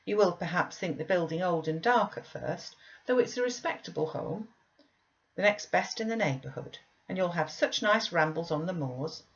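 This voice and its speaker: Casting: woman to read a patient, persuasive female servant for a storybook, lowish voice, slightly muffled recording. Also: lively intonation, moderate pace. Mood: patient, encouraging. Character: coaxing, reassuring woman servant soothing a child. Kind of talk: audiobook character reading.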